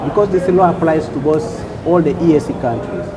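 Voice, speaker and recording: High-voiced man explaining customs rules. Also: lively intonation, noisy background. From Uganda. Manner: deliberate, repeats points, checks with "okay?". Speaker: customs official guest.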